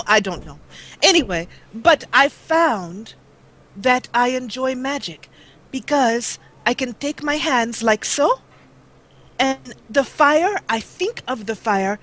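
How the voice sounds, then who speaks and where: A woman voicing a proper, haughty female adventurer from a fantasy game, speaking slowly and formally. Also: high-pitched, a bit bright, heavily acted, low-quality recording. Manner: formal, slow, lofty speech.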